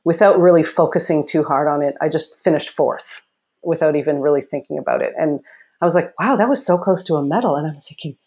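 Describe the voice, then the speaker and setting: A loud, low-pitched woman from Canada shares her story in a relaxed interview. She tells it with energy, laughs often, and acts out what people said. Her voice sits deep for a woman and carries well. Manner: animated storytelling with laughter and acted quotes. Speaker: Olympic fencer, guest. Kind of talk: casual interview conversation.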